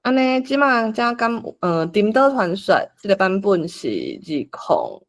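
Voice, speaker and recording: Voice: mid-range voice, big pitch swings, normal speed, fairly bright tone. A person with a Taiwanese accent explaining and organising a group script reading, thinking aloud in an informal way as the facilitator. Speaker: workshop facilitator.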